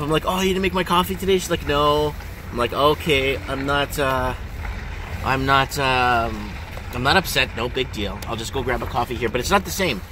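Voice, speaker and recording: A middle-aged man, rambling casually to viewers in an animated way and repeating his questions emphatically. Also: medium pitch. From Ontario, Canada. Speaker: vlogger. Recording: engine and road noise in car.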